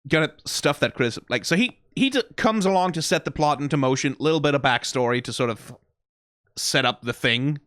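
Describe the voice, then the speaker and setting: A man's voice: a little high, animated, brisk, a bit bright, dry recording, studio quality. A man hosting a reaction video, giving rambling, analytical criticism full of "like" and "right?" with a wry, profane edge. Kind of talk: casual critical commentary.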